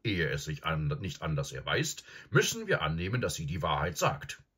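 Man voicing a deep, deliberate old professor. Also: wildly swooping pitch, a bit bright, husky, exaggerated voice. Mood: calm, logical. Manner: deliberate, pondering, with hums and murmurs. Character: serious old male professor, calm, probing, absent-minded. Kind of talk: audiobook character acting.

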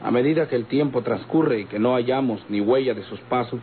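A man acts out a courteous Mexican police commander, grave and worried. He speaks formally and deliberately in a mid-range voice. It is vintage radio drama, with thin, tinny sound and some hiss. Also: mid-range voice, lightly acted. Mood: grave concern. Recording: tinny, hissy old radio recording.

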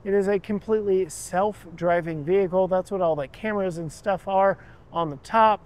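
High-voiced enthusiastic male car reviewer. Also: moderate pace, darkish tone. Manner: enthusiastic, chatty, gushes over details; directs the camera operator while talking. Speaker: car reviewer and channel host. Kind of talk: walking tour of a car show, unscripted. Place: walking through a large auto show.

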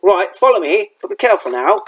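A man voicing a gruff, protective forest guide in a storybook, with blunt, folksy, ungrammatical speech. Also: high-pitched, sing-song, fairly quick, a bit bright, exaggerated voice. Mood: grim, wary. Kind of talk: storybook character voice.

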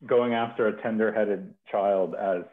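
A man hosting a poetry reading over a video call in casual, halting speech, often repeating words. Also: lowish voice, steady tone. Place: home kitchen, indoors. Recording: dull, thin video-call audio.

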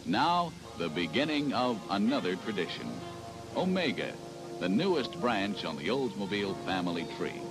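A man's voice: on the low side, sing-song, moderate pace. An American man narrating a scripted 1970s promotional sales film in a polished announcer's delivery. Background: music.

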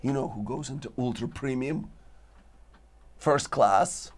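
A man hosting a talk show, declaiming in dramatic lists while his voice is going hoarse. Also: medium pitch, a little slow, noisy background. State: losing his voice. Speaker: show host. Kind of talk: rambling philosophical monologue. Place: studio with green-screen backdrop.

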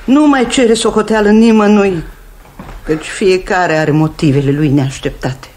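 An actress in a 1950s radio drama playing an older aunt who talks stern and emotional, dropping into pleading whispers. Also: female voice, on the low side, a little mellow, slight background noise, natural voice. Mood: solemn.

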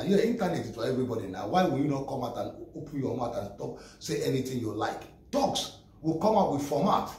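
A middle-aged man with a Nigerian accent, ranting straight to camera in a small room, emphatic and repetitive, often stumbling over repeated words. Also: mid-range voice. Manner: emphatic, repetitive, stammering restarts, gesturing. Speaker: vlogger. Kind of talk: impassioned direct-to-camera rant. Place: small plain room indoors. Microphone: camera a few steps away. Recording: echoey room, voice distant from mic.

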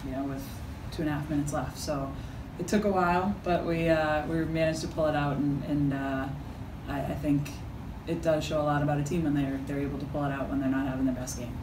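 Woman coach, halting and filler-heavy, echoey press room, mic a few feet off. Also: deep voice, fairly even intonation, distant mic, background noise. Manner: halting, many fillers and restarts. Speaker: head coach. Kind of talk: postgame press conference answers. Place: press conference room with backdrop. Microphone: table mic from a few feet.

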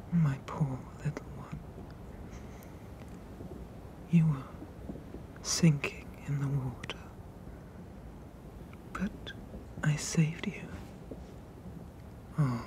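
Whispering, doting sea monster dad , acted out. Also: medium pitch. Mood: soothing, tender. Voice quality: breathy whisper. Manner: soothing, hushing, slow and deliberate. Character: tender, doting, possessive sea monster father. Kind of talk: whispered ASMR roleplay.

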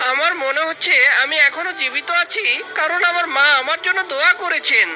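Woman performing a young cartoon boy, high voice, plaintive, devout, melodramatic, clear music underneath. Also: pushed and bright, full character voice, low-quality recording. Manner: earnest, plaintive. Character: sorrowful, devout young boy, grateful and earnest.

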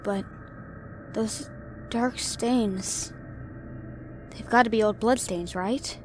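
A young woman voicing a frightened friend exploring a haunted asylum, nervous and stammering. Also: mid-range voice. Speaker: voice actor. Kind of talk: audio drama acting.

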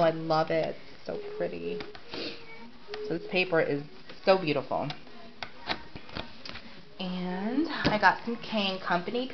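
A congested, sniffling woman gushing over craft paper she bought, filming close-up on a handheld camera at home. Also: on the low side. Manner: gushing, repeats "so cute" and "I love it". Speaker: crafting vlogger. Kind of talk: casual product haul show-and-tell. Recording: dull lo-fi home camera audio with clear hiss.

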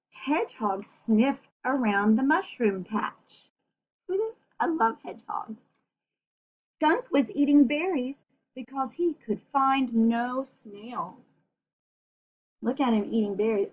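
A woman reading a picture book aloud for children in an expressive, gentle way, with chatty asides. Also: sits high, slow. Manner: expressive, gentle, addressing young listeners. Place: small room at home. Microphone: computer webcam at arm's length. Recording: dull, muffled webcam recording.

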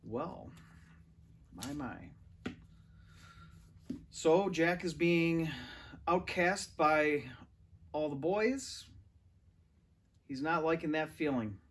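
Man reading aloud, slightly high voice. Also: animated, drawn-out words, pauses often, slight background noise. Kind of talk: children's novel read-aloud. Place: large furnished living room, indoors. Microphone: camera or phone a few metres away.

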